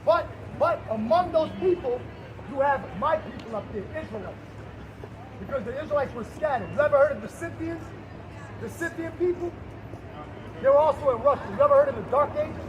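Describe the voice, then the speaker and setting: An emphatic male street preacher with a strikingly high voice reads verses aloud and pelts passersby with rhetorical questions. He is recorded outdoors on a city street, and clear traffic noise runs under his voice. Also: expressive, fairly quick, highs cut off, a crowd in the background. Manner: emphatic, fires rhetorical questions and challenges listeners. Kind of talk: open-air street preaching with scripture reading.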